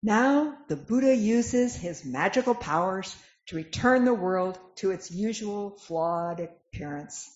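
A woman's voice: mid-range voice, lively intonation, slow, hardly any pauses, a little mellow. A woman narrating a dramatic reading of scripture from a script. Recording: echoey room, dull lo-fi sound.

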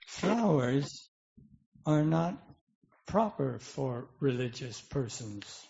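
A man reading the part of an earnest, puzzled Buddhist monk in a scripture drama, with halting, formal phrasing. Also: mid-range voice, sing-song, gravelly, dark tone, exaggerated voice. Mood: earnest, questioning. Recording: dull, lo-fi recording.

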